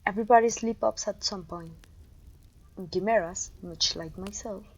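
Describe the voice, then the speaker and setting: A woman playing a haughty, menacing evil sorceress queen in an ASMR roleplay, pompous and commanding, with a breathy voice. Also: medium pitch, rough recording. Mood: smugly menacing. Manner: pompous, commanding, deliberate condescension. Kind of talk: ASMR roleplay voice acting addressed to listener.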